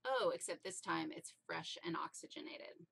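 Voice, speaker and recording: Lady science teacher, kinda low but really bright voice, chatty and playful, leans on key words. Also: normal speed, vocal fry, slightly rough. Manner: animated, playful, emphatic. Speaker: anatomy teacher. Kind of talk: informal teaching explanation.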